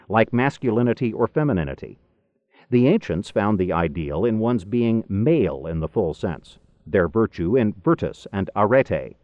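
Male narrator for scripted philosophy, very animated intonation, deliberate pauses, close dry sound on lo-fi tape. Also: on the low side, dry recording. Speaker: audiobook reader. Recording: dull, lo-fi cassette tape recording.

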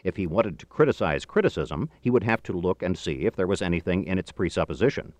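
Deep-voiced man reading with lively intonation. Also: dry recording. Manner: measured, emphatic, formal delivery with deliberate phrasing. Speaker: audiobook narrator. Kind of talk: scripted audiobook reading of a philosophy book.

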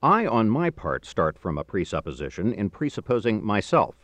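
A middle-aged man reading a philosophical book aloud in a formal, measured, emphatic way, with deliberate phrasing. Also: on the low side, very animated, a bit bright, no echo. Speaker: audiobook narrator.

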